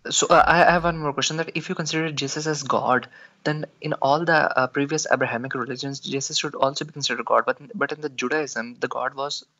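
A man's voice: medium pitch, fairly even intonation, quick pace, bright, forceful tone. A man calling in, polite and hesitant, asking questions with many fillers. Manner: polite, hesitant, says sir often. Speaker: caller. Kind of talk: call-in question. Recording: dull lo-fi call line.

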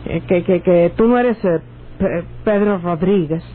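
An actress with a northern Mexican accent playing a naive, anxious young country sweetheart with rustic speech in a radio drama. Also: female voice, lowish voice, measured pace, close to an everyday voice. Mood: flustered, nervous. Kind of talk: old-time radio drama acting. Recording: tinny, noisy old radio recording.

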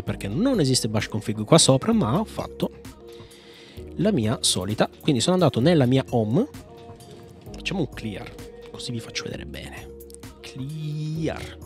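A man talks through a software tutorial at a middling pitch. He rambles and thinks aloud, poking fun at himself as he goes. Faint music plays behind him. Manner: jokey, rambling, self-mocking, thinks aloud. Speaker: tech YouTuber presenting a software tutorial. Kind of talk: casual screencast tutorial.

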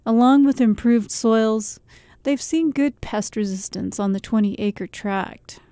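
A woman narrates a documentary in a measured, clear voice-over. Her tone is mellow and warm, recorded dry and close with no room sound. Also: on the high side, no room sound. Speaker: reporter and narrator.

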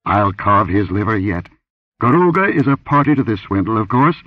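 A man voicing an angry, contemptuous barbarian warrior, barking and rumbling his words in a pulp adventure story. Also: very animated, normal speed, dry recording, recorded from a distance. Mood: gruff, muttering. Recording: dull lo-fi audio.

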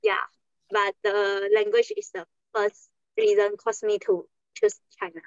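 A woman's voice: medium pitch, little pitch movement, unhurried, dry recording. A young woman with a Malaysian accent answering as a student guest on a video call, hesitant and plain-spoken. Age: young adult. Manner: hesitant, simple phrasing with "um". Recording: dull dry video-call audio.

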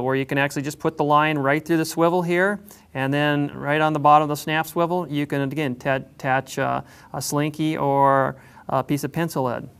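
A man explaining how to tie a drift fishing setup, in a plain, unhurried way with frequent pauses. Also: on the high side, fairly bright tone, clean tone. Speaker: fishing instructor. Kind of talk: instructional demonstration.

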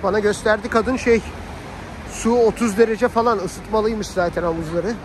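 Male vlogger, very high voice, casual and colloquial, handheld phone outdoors with clear street noise. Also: normal speed. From Turkey. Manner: colloquial, slangy, animated asides to family and viewers. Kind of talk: casual chatty vlog narration. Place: outdoors on a snowy city street. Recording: noisy outdoor street with traffic.

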